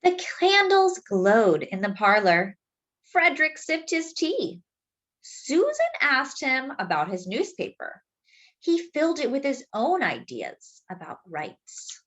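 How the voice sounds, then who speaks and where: A woman reads a picture book aloud expressively, explaining things simply and deliberately as if to young children. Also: high voice, wildly swooping pitch, fairly bright tone, dull audio. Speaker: children's storybook reader.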